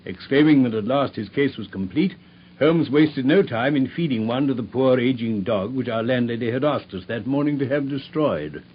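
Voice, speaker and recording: A man giving measured scripted narration for a radio drama. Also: mid-range voice, lively intonation. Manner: measured storytelling. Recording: dull old radio broadcast with faint hiss.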